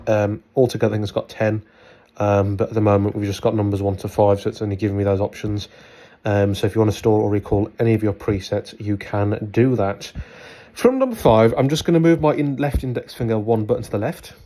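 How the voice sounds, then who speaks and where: Halting man giving a hands-on demonstration. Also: fairly low pitch, mellow. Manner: halting, instructional, thinking aloud. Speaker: product reviewer and presenter.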